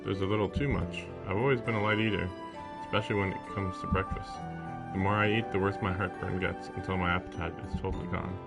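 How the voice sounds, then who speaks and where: A man's voice: sits low, steady tone, fast talker, creaky. A man streaming a visual novel, reading its first-person narration aloud. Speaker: game streamer. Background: game music.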